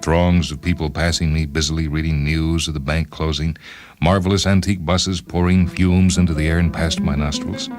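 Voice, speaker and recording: Very deep, pedantic inventor, read by a man. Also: fairly even intonation. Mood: pedantic, self-satisfied. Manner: pedantic, deliberate, self-absorbed. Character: obsessive thirty-two-year-old time-travelling inventor, pedantic, coolly murderous. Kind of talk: first-person dramatized short-story narration. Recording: music behind the reading.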